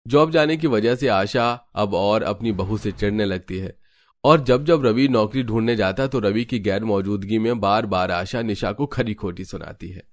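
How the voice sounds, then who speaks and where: A man's voice: fairly low pitch, normal speed. A man reading scripted narration for a family-drama cartoon story.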